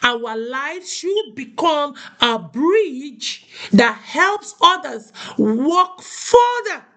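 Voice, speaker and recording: Woman from Nigeria, high voice, motivational talk, preaching, repeats phrases for emphasis. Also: very animated, extremely slow, a bit bright. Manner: asks listeners "do you understand?". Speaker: host of a women's motivational show.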